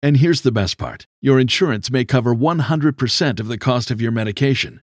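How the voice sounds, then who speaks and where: A man's voice: medium pitch, a little fast, dry recording, studio quality. A man reading a scripted commercial as an announcer. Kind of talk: scripted ad read.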